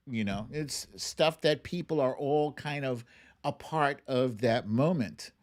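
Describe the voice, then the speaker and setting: A man hosting a livestream with casual commentary, speaking in a halting, conversational way with pauses and emphatic stresses. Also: mid-range voice. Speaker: host of a livestream show.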